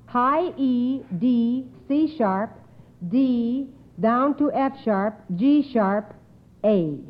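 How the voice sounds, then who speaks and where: A woman hosting an old-time radio quiz in a formal, measured, encouraging way with precise wording. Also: a little high. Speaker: quiz show host. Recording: tinny, narrow old radio recording.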